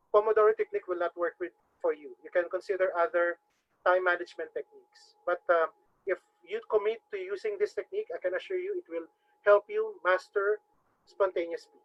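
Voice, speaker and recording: A man with a Filipino accent teaching a webinar, formally addressing the audience as ladies and gentlemen. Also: high voice, steady tone, brisk. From Philippines. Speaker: webinar trainer. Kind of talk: instructional webinar talk. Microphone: video call microphone. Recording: dull lo-fi webinar audio.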